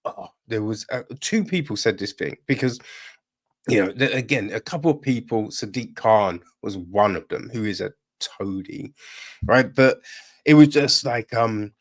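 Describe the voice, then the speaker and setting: Slow, rambling man reviewing a film. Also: lowish voice, expressive. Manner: rambling, frequent tag questions like "right?" and "you know"; opinionated and irritated. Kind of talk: casual podcast review.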